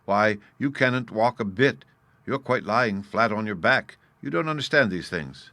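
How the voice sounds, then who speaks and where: A man reading aloud, voicing a bright, earnest little fairy girl in a storybook. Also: lowish voice, expressive, normal speed, no room sound. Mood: lively.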